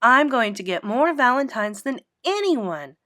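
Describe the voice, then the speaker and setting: A woman's voice: fairly high pitch, big pitch swings, unhurried, a bit bright, no echo, studio quality, voice pushed up. A woman voicing a prissy, boastful little rich girl, haughty and gushing, in a children's storybook.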